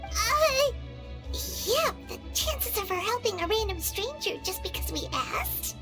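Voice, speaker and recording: A woman voicing a tiny, chatty floating female companion in a game, with a squeaky childlike voice and a frank way of talking. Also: sing-song, heavily acted. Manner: frank, quick to comment. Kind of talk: game cutscene voice acting. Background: loud game music.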